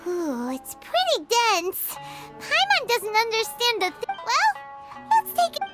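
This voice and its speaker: A woman voicing a tiny, childlike, cheerful and excitable fairy companion in a video game. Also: extremely high pitch, slow, heavily acted. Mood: curious, chirpy. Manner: speaks of herself by name in the third person, chirpy. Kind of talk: video game character acting. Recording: game dialogue over loud music.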